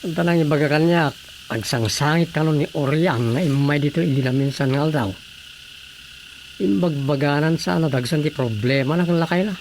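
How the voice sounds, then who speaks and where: A man's voice: on the high side, moderate pace, close to an everyday voice. Grumbling male village councilor, played by an actor. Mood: concerned, curious. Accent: Filipino. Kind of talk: radio drama comedy acting. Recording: faint background noise.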